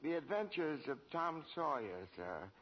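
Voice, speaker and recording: An actor playing a meek, eager apprentice angel, deferential and hesitant, in old-time radio drama style. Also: male voice, fairly high pitch, big pitch swings, unhurried. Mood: timid, eager. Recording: muffled, noisy, reverberant old radio recording.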